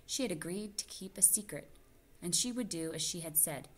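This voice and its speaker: A woman reading a children's novel aloud in an expressive storytelling style. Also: on the low side, normal speed. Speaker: guest reader of a children's novel.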